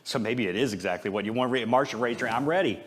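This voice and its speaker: A man's voice: medium pitch, fast, noisy background. A man preaching an informal, conversational sermon as a pastor, with a joking, self-deprecating manner and rhetorical questions. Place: church service, speaking before a congregation.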